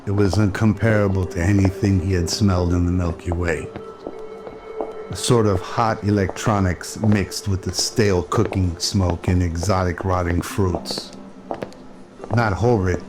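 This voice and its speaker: Male radio drama narrator, deep and flat in delivery, loud music bed underneath. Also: unhurried.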